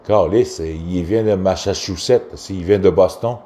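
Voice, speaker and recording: A man's voice: deep voice, unhurried, warm tone, slight background noise. A man from Quebec with a Québécois accent, giving a casual, rambling rant in a crude, profane, hesitant way. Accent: Québécois French.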